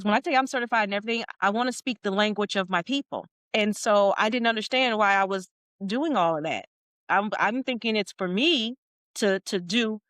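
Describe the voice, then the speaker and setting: A middle-aged woman talks as a podcast guest and leadership coach. Her pitch sits at an ordinary middle height, and she leans on her words with earnest emphasis, coming back to points she has already made. The recording is dry and close, with no room sound. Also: a bit bright, dry recording. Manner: earnest, emphatic, with repeated phrases. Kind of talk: podcast interview.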